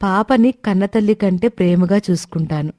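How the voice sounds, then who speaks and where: A woman acting a devoted, gentle elder sister in a moral story. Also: mid-range voice, normal speed, no echo, studio quality, close to an everyday voice. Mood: earnest, tender. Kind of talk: acted moral-story dialogue.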